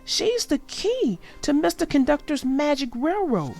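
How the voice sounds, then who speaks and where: A woman voicing an excited, hopeful young girl in a children's storybook, exclaiming her lines. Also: high voice, unhurried, faint music behind, pitched above the performer's own voice, heavily acted. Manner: bright, exclaiming.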